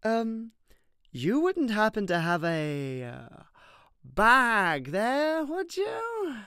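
A woman's voice: fairly high pitch, wildly swooping pitch, extremely slow, fairly bright tone, no room sound, heavily acted. A woman playing a genteel, fussy and clumsy plant-folk lady in an audio drama, with polite, flowery speech. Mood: flustered. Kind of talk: fan audio drama acting. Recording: clearly noisy background.

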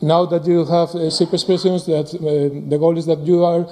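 A man presenting a software tool on a conference stage at a podium mic, halting with many fillers and restarts. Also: fairly high pitch, flat delivery.

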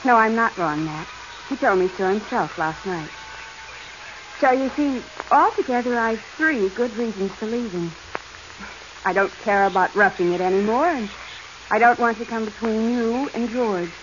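A woman's voice: a little high, moderate pace, lightly acted. Actress as a frank 1940s radio-drama woman. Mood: frank, calm. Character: plain-spoken independent woman adventurer, tired of roughing it. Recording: noisy, muffled 1940s radio recording.

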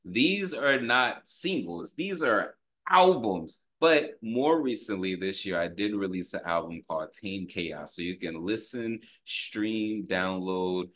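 A man's voice: on the low side, expressive, takes it slowly, fairly bright tone. A man in his thirties giving a casual, slangy music video reaction, full of short repeated exclamations, recorded at home on a desk mic. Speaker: rapper and reaction video host. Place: small room at home, indoors. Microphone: desktop microphone close by, headphones on. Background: pop music from the video.

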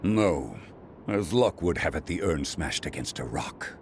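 A man's voice: extremely low pitch, very animated, unhurried, slight background noise, full character voice. An actor playing an imposing, haughty demon king in a video game, speaking in a pompous, formal way. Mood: stern, dry. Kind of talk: video game cutscene acting.

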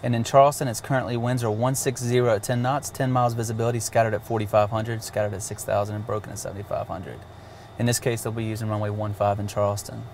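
A man with a low, gravelly voice walks through a cockpit avionics demo in a relaxed, matter-of-fact way. He speaks in a flat, even tone over faint background noise. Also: fast. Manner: walks through steps plainly, with fillers. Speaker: avionics demonstrator. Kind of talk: casual product demonstration.

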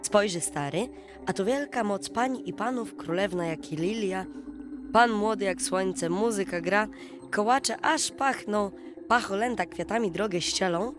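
A woman narrating a scripted fairy tale for children at bedtime, in an expressive storytelling manner. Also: medium pitch, measured pace. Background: music.

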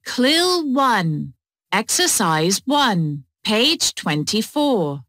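A woman announcing exercise numbers in a very slow, deliberate way. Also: on the high side, sing-song, a bit bright, dry recording. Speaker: track announcer.

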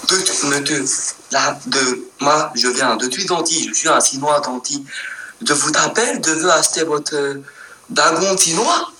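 A young man making a prank phone call in halting, broken speech with a put-on foreign accent, recorded in a living room. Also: a little high, bright, forceful tone. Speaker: prank caller. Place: living room at home, indoors. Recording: echoey, noisy home recording, off mic.

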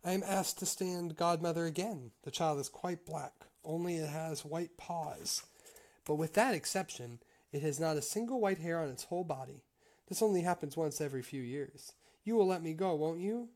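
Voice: medium pitch, normal speed, a little mellow, pitched above the performer's own voice, lightly acted. A reader playing a sly, smug storybook cat. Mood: sly, coaxing. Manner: cool, dismissive, self-satisfied. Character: sly greedy female cat, cool and smug.

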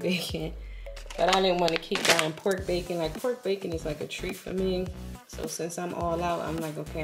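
Chatty woman with gravelly voice. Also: medium pitch. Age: adult, has a daughter. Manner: chatty, addresses viewers as y'all. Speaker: vlogger. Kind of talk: casual grocery haul chat.

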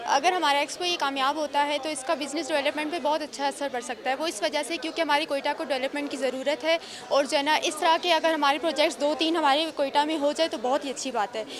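A young woman with a Pakistani accent answers in a news interview. Her voice is distinctly high, and the chatter of a busy hall is clear behind her. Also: fairly even intonation, quick pace. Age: young adult. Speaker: expo participant. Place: indoor expo hall. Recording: busy indoor hall crowd noise. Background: crowd chatter.

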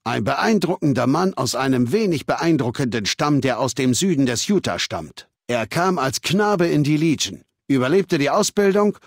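An actor playing a cold, imperious warlord dictator in a video game, speaking in a commanding, haughty, lecturing manner. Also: male voice, medium pitch, expressive, moderate pace, few pauses, husky, no echo, clean studio sound. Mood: haughty, authoritative. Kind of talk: video game character dialogue.

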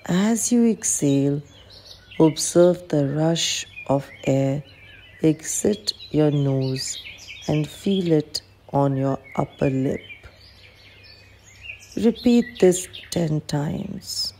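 Calm female meditation guide, low and mellow, gentle instructional delivery, birdsong faintly behind. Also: extremely slow. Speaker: clinical psychologist guiding a meditation. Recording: faint background noise with birdsong.

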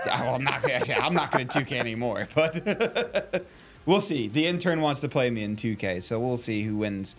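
A man's voice: mid-range voice, expressive, moderate pace, a bit bright, soft background music. A man in his twenties co-hosting a livestream from a studio, teasing and laughing often while he talks into a headset mic. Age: 20s. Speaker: co-host of a livestream. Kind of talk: casual joking banter. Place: studio with a gaming chair.

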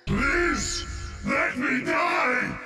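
Game warrior: desperate, defiant, drawn-out gravelly shouts, roomy, loud music behind. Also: male voice, extremely high pitch, wildly swooping pitch, exaggerated voice. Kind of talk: video game battle cries.

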